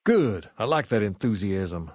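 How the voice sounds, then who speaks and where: A man playing a laid-back, folksy gang boss in a video game, with a colloquial, quietly authoritative way of talking. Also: fairly low pitch, sing-song, unhurried, no room sound. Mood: easygoing, persuasive. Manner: easygoing, colloquial drawl of phrasing, quietly authoritative. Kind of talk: video game character dialogue. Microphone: close, dry studio microphone.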